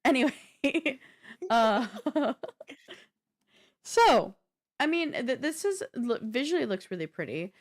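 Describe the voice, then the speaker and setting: A woman co-hosting a show in casual chat, with a halting manner full of "like". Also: high-pitched, big pitch swings. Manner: halting, uses "like" often. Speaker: show co-host.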